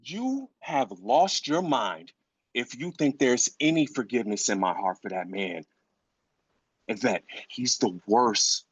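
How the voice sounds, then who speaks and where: A man's voice: medium pitch, expressive, measured pace, slightly rough, no echo, lo-fi sound. An actor playing a grieving, furious father in a dramatic script reading, speaking emphatically and incredulously, repeating phrases for weight. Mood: furious, anguished.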